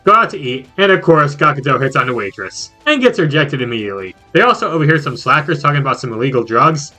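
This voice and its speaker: Animated, bright-voiced male narrator. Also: a little high, fast, soft background music. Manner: animated, sarcastic, casual. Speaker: video game reviewer. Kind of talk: scripted recap narration with joking asides.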